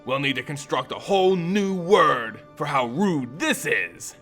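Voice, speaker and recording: A man's voice: on the high side, animated, a little slow, a bit bright, faint music behind. A man voicing a haughty, furious female vampire bride in an indignant, imperious manner for a fantasy story.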